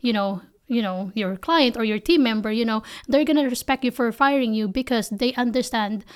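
Higher-pitched woman with a Filipino accent. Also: normal speed, smooth. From Philippines. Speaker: company founder and CEO, interview guest. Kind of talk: conversational interview answers, personal storytelling.

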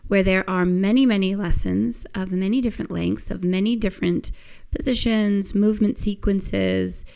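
A woman hosts her own podcast despite a croaky, hoarse voice that keeps catching into a gravelly fry. Her tone is mellow and dark rather than bright. She speaks in an enthusiastic, encouraging way, gently walking listeners through a movement lesson. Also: medium pitch, fairly even intonation, normal speed. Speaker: podcast host and movement teacher. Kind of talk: casual podcast monologue.

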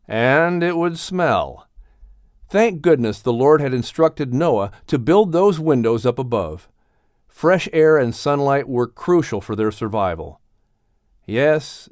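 A man narrating an audiobook story in an expressive, dramatic storytelling manner. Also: medium pitch, dry recording, clean studio sound.

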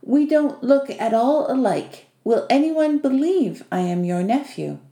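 A woman's voice: high voice, expressive, measured pace, dark tone, pitched above the performer's own voice. A woman voicing a polite, eager and slightly awkward young man in an audiobook. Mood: curious. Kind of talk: audiobook character dialogue. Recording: echoey room.

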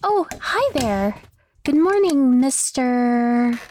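High-voiced, eager young-sounding woman. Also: very animated, extremely slow, a little mellow, a little hiss or hum, heavily acted. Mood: friendly. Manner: friendly, eager to please. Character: chirpy, eager female test volunteer. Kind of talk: audio drama acting.

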